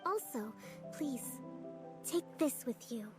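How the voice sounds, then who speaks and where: A woman voicing a calm, composed young female island leader and strategist in a game cutscene, over game music. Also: high-pitched, slow pace. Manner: gentle, composed, thoughtful. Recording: game audio with loud music.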